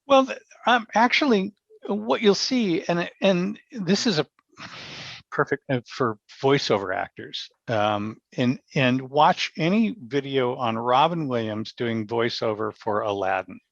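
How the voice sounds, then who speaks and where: A man talking casually as an interview guest, explaining through anecdotes, often with halting starts. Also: mid-range voice, expressive, creaky, fairly bright tone, no echo, muffled recording. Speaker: podcast guest, stage actor and director.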